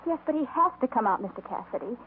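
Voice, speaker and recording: A band-limited, faintly noisy vintage radio recording of an actress as an anxious American frontier wife. Her fairly high voice is earnest and pleading, in period radio drama style. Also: animated, fast talker, narrow, tinny audio, natural voice. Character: worried, earnest frontier wife, a grown woman. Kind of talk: 1950s radio drama acting.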